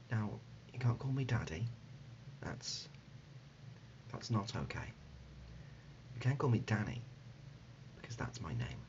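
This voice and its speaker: A man playing an absurd, deadpan dad in an ASMR comedy roleplay, speaking haltingly and gently, often dropping to a whisper. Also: medium pitch. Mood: deadpan insistent, then solemn, hushed. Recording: dull lo-fi audio, loud background noise.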